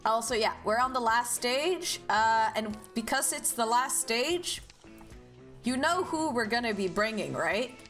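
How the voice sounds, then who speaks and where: Young Norwegian woman streamer, bubbly, very lively pitch, faint game music behind. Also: a little slow. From Norway. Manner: bubbly, greets chat with high squealed hellos. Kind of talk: casual live stream chat.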